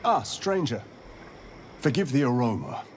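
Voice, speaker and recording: Wry wandering monster hunter, animated pitch. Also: male voice, slight background noise. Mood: sly, affable. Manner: wry, measured, storytelling. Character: wry, weary wandering male monster hunter, courteous trickster. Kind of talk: video game voice acting.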